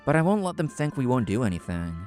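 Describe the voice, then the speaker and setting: A man narrating a scripted story in the first person, in a measured, explanatory manner. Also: medium pitch, big pitch swings, darkish tone, soft background music. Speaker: narrator and main character of a manga video.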